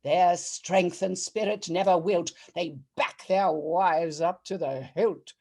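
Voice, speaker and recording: A woman's voice: fairly low pitch, fairly even intonation, takes it slowly, darkish tone. A woman playing a righteous female judge, declaiming comic rhyming verse theatrically and savouring each rhyme. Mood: commanding. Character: regal, righteous female judge of a bawdy court. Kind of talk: dramatic verse reading, rhyming comic poem.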